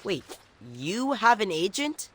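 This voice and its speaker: A man playing an earnest, nerdy teenage mutant turtle in an animated game, eager and matter-of-fact. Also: high-pitched, takes it slowly, exaggerated voice. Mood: dry, advising.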